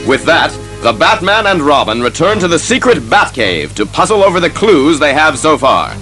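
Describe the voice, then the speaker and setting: A man's voice: on the high side, sing-song, normal speed, music in the background. A man delivering dramatic scripted narration for a superhero audio drama.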